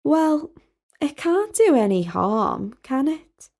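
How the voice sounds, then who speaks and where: A woman's voice: high voice, big pitch swings, slow pace, darkish tone, voice pushed up, exaggerated voice. A woman voicing a sceptical, down-to-earth young female college student in a storybook, with blunt, colloquial speech. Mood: stressed, sceptical. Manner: colloquial, blunt, casual wording.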